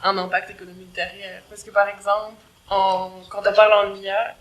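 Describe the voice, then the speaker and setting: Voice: medium pitch, flat delivery, brisk, a bit bright, poor audio. A co-host asking a long, thoughtful question in a level way. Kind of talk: casual interview question.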